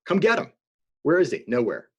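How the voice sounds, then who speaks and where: A man's voice: fairly high pitch, huge pitch swings, normal speed, breathy edge. A man co-hosting a casual video-call chat, joking in a laid-back way. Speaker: co-host.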